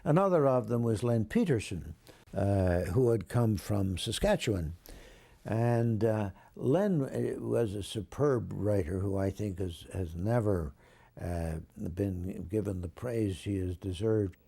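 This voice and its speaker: Older male interviewee, low and slightly husky, measured and articulate, looking back with admiration. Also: animated, warm tone. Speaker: interviewee recalling radio drama history. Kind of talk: reflective reminiscence.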